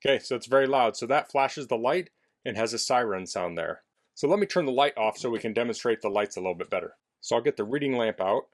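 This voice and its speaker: A man's voice: medium pitch, animated, fast talker. A man casually demonstrating a product indoors in a basement, explaining things in a plainspoken way as a reviewer.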